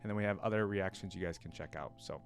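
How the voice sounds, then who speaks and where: A man's voice: low-pitched, quick pace, gravelly, over music. A man hosting a reaction video, chatting informally and enthusiastically as he thinks aloud. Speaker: reaction channel host. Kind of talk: casual chat to camera, rambling commentary.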